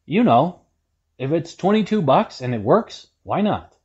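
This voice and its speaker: A man's voice: a little high, big pitch swings, a little slow, a little mellow, husky, lo-fi sound. A man in his thirties hosting a casual how-to repair demonstration in an indoor workshop, talking in a plain-spoken, self-deprecating way. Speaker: welding video host. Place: indoor workshop with corrugated metal wall.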